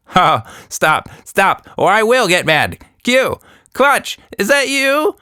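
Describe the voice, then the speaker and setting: A man's voice: sits high, big pitch swings, takes it slowly, bright, forceful tone, clean tone, no room sound, clean studio sound, voice pushed up, full character voice. A man voicing a talkative, short-sighted female monkey who rambles and interrupts in a children's story. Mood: startled, pleading.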